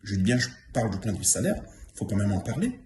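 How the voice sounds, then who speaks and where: A man's voice: lowish voice, very animated, fast talker, darkish tone, slight background noise. A man in his fifties giving a testimonial, earnest and repeating himself for emphasis. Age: 50s. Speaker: former trainee giving a testimonial. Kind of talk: spoken testimonial. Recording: roomy echo.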